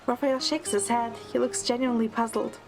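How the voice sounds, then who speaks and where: A woman playing a quick-witted young heroine, narrating an audio drama in the first person. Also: on the high side, fairly even intonation, over music, close to an everyday voice, rough recording.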